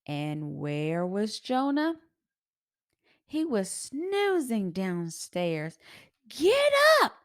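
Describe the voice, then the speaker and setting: A woman reading a children's story aloud in an expressive, sing-song rhyming delivery. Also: mid-range voice, very slow, no room sound, clean studio sound.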